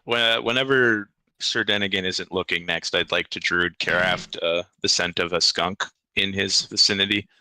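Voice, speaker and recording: A man's voice: sits low, fairly even intonation, moderate pace, few pauses, pushed and bright, smooth, dry recording, low-quality recording. A man chatting casually and playfully as a player in a tabletop game.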